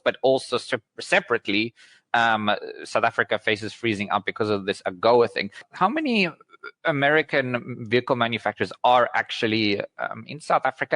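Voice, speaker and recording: A man interviewing for a news programme, asking conversational questions that he rephrases haltingly as he goes. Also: medium pitch, fairly bright tone, no room sound.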